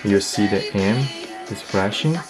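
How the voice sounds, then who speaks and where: A man's voice: on the low side, animated, unhurried, music in the background. A man giving a casual product review, explaining things step by step in a hesitant way.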